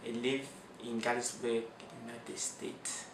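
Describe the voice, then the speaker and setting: A young man from Cameroon with a Cameroonian French accent, answering interview questions in a slow, halting way with many pauses and restarts. French is his first language. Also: medium pitch, level tone, reverberant, distant mic, noisy background.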